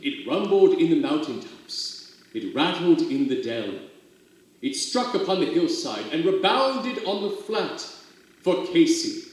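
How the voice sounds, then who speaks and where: A man giving a dramatic poetry recital, with expressive, theatrical storytelling and drawn-out emphasis. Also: a little high. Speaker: reader of poetry for a theater company. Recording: very echoey, roomy sound.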